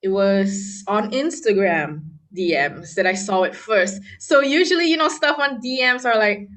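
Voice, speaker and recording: A woman's voice: medium pitch, lively intonation, a little slow, a bit bright. A young woman from Malaysia, a pianist, chatting casually in an interview with plenty of fillers and laughs. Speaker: guest pianist.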